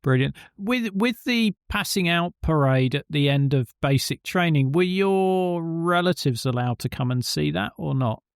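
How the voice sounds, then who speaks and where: A man's voice: on the high side, expressive, a little slow, creaky, few pauses, clear, resonant tone, dry recording, studio quality. A man hosting an interview, responding encouragingly and asking follow-up questions. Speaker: podcast host, interviewer. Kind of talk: conversational interview.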